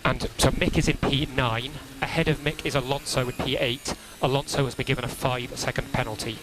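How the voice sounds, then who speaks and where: Calm guy race engineer on team radio, repeats instructions, noisy channel w car sounds behind. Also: mid-range voice. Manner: measured, repeats key instructions. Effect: team radio transmission. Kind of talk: calm procedural radio briefing to a driver. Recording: crackly noisy motor racing team radio.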